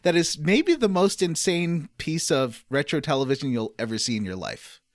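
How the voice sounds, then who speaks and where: An enthusiastic male podcast host with a lively, swinging pitch. He rambles through stories in casual conversation, and the sound is dry and close, with little room to it. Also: normal speed, no room sound. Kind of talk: casual group podcast chat.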